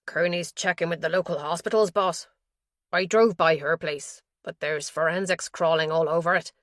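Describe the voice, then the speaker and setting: A blunt, foul-mouthed hired thug, as a woman narrator puts him on, rough and coarse but deferential to his boss. The reading sits close and dry on the mic. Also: on the low side, fairly even intonation, normal speed, a bit bright, no room sound, lightly acted. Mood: reporting. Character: crude male henchman, blunt hired thug.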